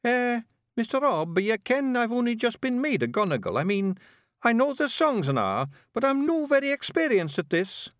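Male reader doing a timid young Scottish pictsie, high and earnest, dry close sound. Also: sing-song, moderate pace, dry recording. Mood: anxious. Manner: earnest, anxious. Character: young nervous male pictsie apprentice bard.

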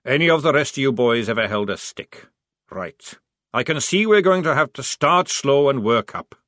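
A man voicing a gruff male army sergeant who barks orders, in a storybook reading. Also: medium pitch, lively intonation, normal speed, fairly bright tone, no room sound, studio quality, voice pushed up, close to an everyday voice. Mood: commanding. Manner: barking orders.

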